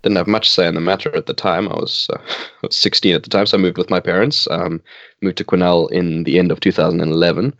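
Man, 20s, Afrikaans native speaker from South Africa; very low voice, relaxed and laughing, dry muffled recording. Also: fast talker, no room sound. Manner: relaxed, laughs often, hesitant restarts. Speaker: band bassist. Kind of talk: casual interview chat.

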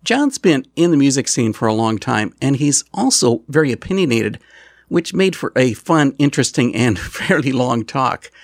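A male radio host giving a scripted show introduction and asking interview questions in a measured, articulate way. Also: medium pitch, very animated, vocal fry, no room sound, clean studio sound.

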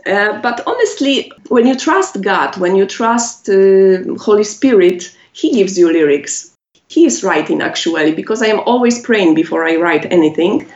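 A woman with a Polish accent, a native Polish speaker, answering interview questions in halting English with many pauses and laughs. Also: mid-range voice, lively intonation, a little mellow. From Poland. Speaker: musician guest. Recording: echoey, muffled lo-fi audio.

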